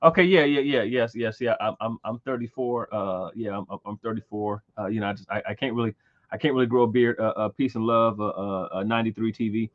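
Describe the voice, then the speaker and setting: A man in his thirties chats on a livestream in a fairly low voice, stumbling and repeating words as he goes. The audio comes through a little muffled and dull. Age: 30s. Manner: halting, many repeated words and fillers. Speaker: livestream host. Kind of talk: casual livestream chat.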